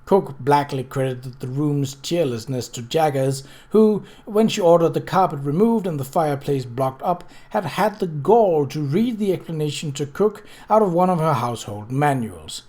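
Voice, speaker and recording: A storyteller reading a novel aloud at a medium pitch. The delivery is scripted narration, with occasional slips on words that get read over again. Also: animated, normal speed, hardly any pauses, darkish tone. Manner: stumbles over words and rereads them. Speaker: audiobook reader.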